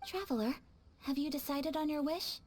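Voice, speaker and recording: A woman playing a refined young noblewoman in a video game, gentle and earnest, with polite, measured phrasing. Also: high voice, a little hiss or hum, lightly acted. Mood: composed, polite.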